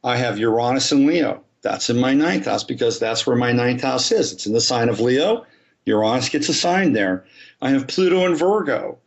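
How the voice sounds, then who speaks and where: A man's voice: medium pitch, expressive, moderate pace, gravelly, highs cut off. A man, an astrologer guest on a podcast, explaining his subject methodically and step by step. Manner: methodical, talks through examples step by step.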